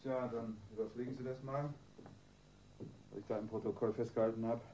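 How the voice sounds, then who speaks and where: An actor playing an officious, chatty police detective with a formal, faintly sardonic manner in a radio crime drama. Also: male voice, medium pitch, fairly even intonation, a little fast, warm tone, slight background noise, natural voice, rough recording. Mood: businesslike, wry. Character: officious, wry police detective wrapping up paperwork.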